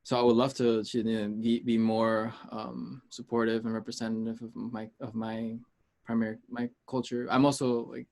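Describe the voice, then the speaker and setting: A man from the United States chatting casually as an interview guest, informal and rambling, pausing to gather his thoughts. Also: medium pitch, flat delivery, gravelly, darkish tone. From United States, Peruvian heritage. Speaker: interview guest, music artist.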